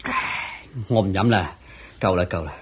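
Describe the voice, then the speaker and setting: An actor playing a humble, troubled poor layman in a radio drama, husky-voiced, with a deferential, hesitant way of talking. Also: deep voice, measured pace, slight background noise. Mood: weary, wistful. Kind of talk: Cantonese radio drama acting.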